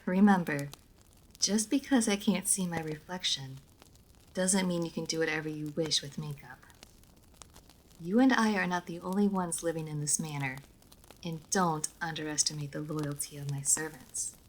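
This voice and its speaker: A woman playing a refined, reserved vampire noblewoman in a roleplay audio drama, speaking formally with measured diction, hesitant pauses and dry playfulness. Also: deep voice, slight background noise, natural voice, rough recording. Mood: formal, guarded. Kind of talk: roleplay audio drama, intimate monologue to listener.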